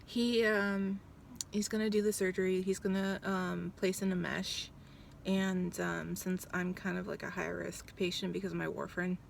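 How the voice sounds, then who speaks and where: Woman in her 30s vlogging, raspy gravel in her voice, mid pitch, lots of ums, swears a bunch, faint hiss behind. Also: flat delivery, moderate pace. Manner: blunt, swears freely, many ums. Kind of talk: casual rambling vlog chat. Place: outside a doctor's office.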